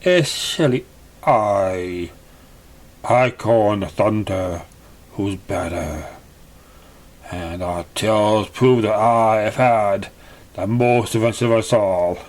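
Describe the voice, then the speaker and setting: A man's voice: lowish voice, slow pace, pauses often, a little mellow, voice pushed down. A man voicing a proud, boastful pegasus stallion, an adventuring mage in a storybook.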